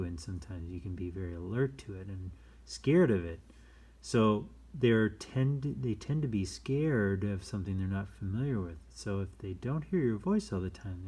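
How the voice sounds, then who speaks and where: Slow, low-voiced man chatting through storytime. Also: darkish tone, husky. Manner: easygoing, rambling, explanatory asides. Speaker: storytime reader and host. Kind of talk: casual read-aloud with chatty asides.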